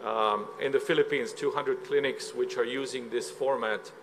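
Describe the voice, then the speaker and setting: A man from Slovenia giving a measured, explanatory conference presentation, with frequent filler sounds. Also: mid-range voice, fairly even intonation, background noise. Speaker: conference speaker. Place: conference hall.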